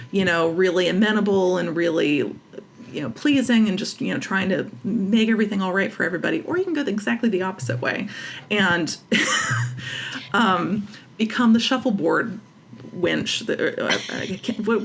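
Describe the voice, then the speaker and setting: Creaky-voiced woman, halting and conversational. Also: fairly low pitch, slight background noise. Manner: halting, searching for words, self-correcting. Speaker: interviewed writer. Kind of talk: casual interview conversation.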